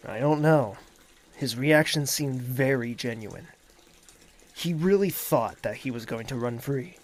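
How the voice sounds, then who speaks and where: An actor playing a weary, suspicious male royal in an audio drama, speaking and narrating in a measured, brooding way. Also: medium pitch. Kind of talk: audio drama acting and first-person narration.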